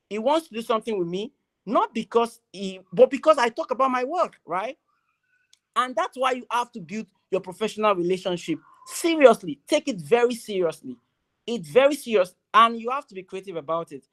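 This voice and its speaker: A young adult with a Nigerian accent giving a motivational speech at a graduation ceremony, emphatic and loud, repeating key phrases. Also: mid-range voice.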